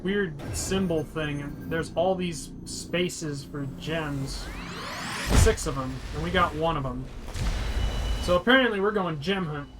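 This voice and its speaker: High-pitched sweary man over loud game music. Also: measured pace. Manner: blunt, sweary, grumbling. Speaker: host of a descriptive game playthrough. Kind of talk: casual gameplay commentary with description.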